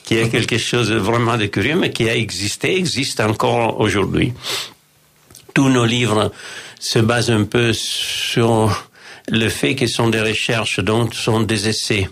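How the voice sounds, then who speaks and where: A man with a low, gravelly voice speaks as a publisher in a radio interview. He talks very slowly, in a measured, explanatory way, pausing and restarting often. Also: a bit bright. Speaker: publisher, co-founder of a publishing house.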